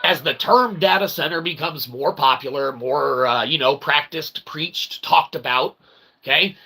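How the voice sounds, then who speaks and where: A man's voice: a little high, a little slow, creaky, sharp tone, breathy edge. A man hosting a livestream rant, profane, emphatic and repetitive, with wordy, mock-formal phrasing. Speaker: host of a livestream rant show.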